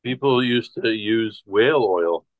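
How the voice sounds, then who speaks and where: Casual male conversationalist, fairly deep pitch, dry close sound. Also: unhurried, no room sound. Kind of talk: casual chat.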